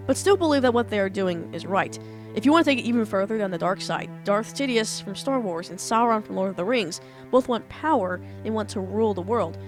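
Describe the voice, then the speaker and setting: A young woman, an author, explaining storytelling step by step in a casual, earnest way, her voice slightly off after being ill. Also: medium pitch. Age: young adult. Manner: earnest, talks through points step by step. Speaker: author and writing teacher.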